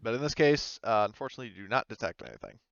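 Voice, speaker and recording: A man running a tabletop game as game master, narrating casually and hesitantly as he thinks out loud. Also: fairly low pitch, huge pitch swings, racing, vocal fry, very noisy. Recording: slightly dull, dry close recording.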